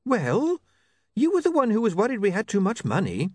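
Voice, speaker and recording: Guy doing a high brisk young woman, matter-of-fact, dry. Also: wildly swooping pitch, a little mellow, breathy edge, no room sound, exaggerated voice.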